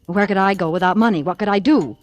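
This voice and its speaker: Cold scheming wife played by an actress, crackly old recording. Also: female voice, medium pitch, fast, lightly acted. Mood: bitter, scornful. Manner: calculating, insistent, persuasive. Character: cold, scheming politician's wife plotting betrayal and murder. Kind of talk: 1940s radio acting.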